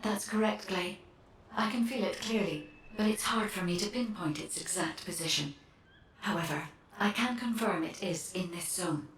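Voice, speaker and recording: An echoing, distant female voice with some hiss around it, that of a serene otherworldly guide in a video game. She speaks formally and analytically, choosing her words with calm precision. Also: mid-range voice, normal speed. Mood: serene, informative. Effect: echoing, distant voice filter. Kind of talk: scripted video game dialogue.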